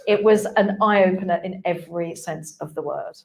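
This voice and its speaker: A woman's voice: lowish voice, moderate pace, creaky, darkish tone. A woman speaking on a conference panel, chatty and candid, with frequent restarts. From England. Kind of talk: panel discussion. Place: conference hall.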